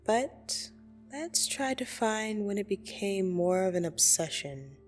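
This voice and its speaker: A woman playing a blunt, teasing yet soothing dream demon in an ASMR roleplay, speaking deliberately and intimately with gentle condescension. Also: medium pitch, sing-song. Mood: soothing, concerned. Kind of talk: ASMR roleplay audio drama. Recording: soft music behind the voice. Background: soft meditation music.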